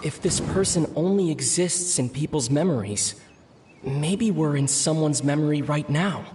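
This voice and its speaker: A man's voice: fairly high pitch, measured pace, darkish tone, a little hiss or hum. A man streaming a game, steadily reading dialogue choices aloud as he reasons through them.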